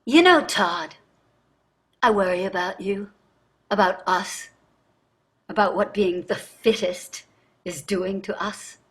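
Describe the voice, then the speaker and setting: An actress playing a wealthy, anxious, combative wife in a staged play reading, speaking with earnest, exasperated emphasis. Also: female voice, mid-range voice, slow pace, long pauses, close to an everyday voice. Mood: troubled, weary.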